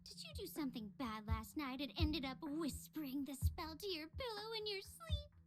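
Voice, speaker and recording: A woman voicing a lively, teasing young female fighter in game dialogue, playful and expressive. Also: high voice, normal speed, over music. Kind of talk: voiced game story dialogue.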